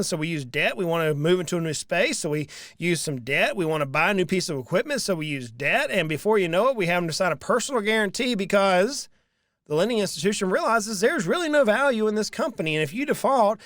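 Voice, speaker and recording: A man's voice: high-pitched, a bit bright, no echo, studio quality. A man, hosting a finance show and talking straight to camera. He speaks earnestly and with emphasis, often repeating a phrase to drive it home. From Southern United States. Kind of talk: solo talk to camera. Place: small room with dark curtains. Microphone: large studio mic in front, headphones.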